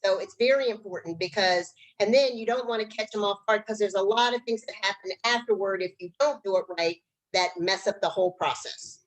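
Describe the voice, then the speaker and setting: A woman's voice: medium pitch, a little fast, poor audio. A middle-aged woman, a guest business trainer, gives conversational instruction in a plain-spoken, emphatic manner.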